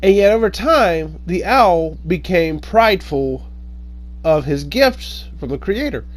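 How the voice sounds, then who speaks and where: A man telling folk tales informally in a halting, hesitant way, often pausing and correcting himself. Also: sits high, animated. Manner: halting, hesitant delivery with frequent pauses and self-corrections. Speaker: online storyteller.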